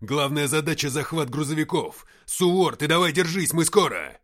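A man's voice: mid-range voice, very animated, normal speed, sharp tone, husky, full character voice. A man voicing a brusque army comrade who talks urgently and bluntly in an audiobook war story. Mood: urgent briefing. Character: brusque army comrade reporting over radio under pressure.

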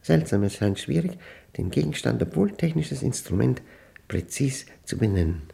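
Lonely math professor in a german radio play, standard german, mellow and creaky, halting, thinking out loud. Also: male voice, medium pitch, sing-song. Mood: uneasy, precise. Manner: pedantic, reflective, halting, self-questioning. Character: lonely, orderly male mathematics professor recording a confession.

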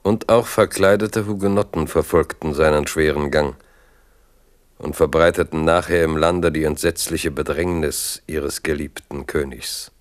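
Deep low guy narrating a literary radio play. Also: measured pace.

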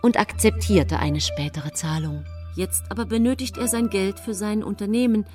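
An actress playing a cold, scheming woman poisoner who confesses her own case in a radio play, confiding and deliberate. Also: medium pitch, darkish tone, soft background music, natural voice. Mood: cool, deliberate. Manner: confiding, deliberate, sometimes hissing in a whisper. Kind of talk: radio play first-person narration.

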